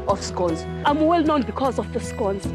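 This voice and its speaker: Middle-aged lady, earnest, stops and restarts a lot, kinda high voice, music behind. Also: animated, normal speed. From South Africa. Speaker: local baker and guest. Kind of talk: casual interview chat.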